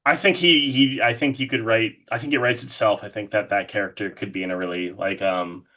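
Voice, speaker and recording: Low-pitched man chatting in an interview. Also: fairly even intonation, brisk, sharp tone. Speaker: interview guest, horror artist. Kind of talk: casual conversational interview.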